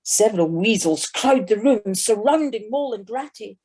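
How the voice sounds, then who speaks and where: A woman with a husky voice narrating a scripted children's story for an audio play. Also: mid-range voice, expressive, normal speed, warm tone.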